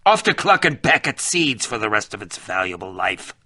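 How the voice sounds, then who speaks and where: A man's voice: on the low side, very animated, moderate pace, sharp tone, slightly rough, dry recording, clean studio sound, exaggerated voice. A man voicing a pompous, irritable, middle-aged eccentric adventurer and potion maker in a video game, with a dramatic, sardonic, fussy delivery. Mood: dry, sardonic. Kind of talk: animated video game voice acting.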